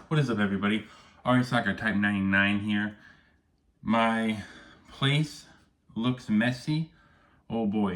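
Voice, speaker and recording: A man with a somewhat low voice chats casually to camera from his cluttered home office room. He rambles from point to point and tacks a questioning "okay?" onto many remarks. There is no separate microphone, only the camera's own audio. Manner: rambling, keeps adding "okay?" to check in. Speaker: firearms YouTuber. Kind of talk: casual vlog talk to camera. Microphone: no external mic, camera audio.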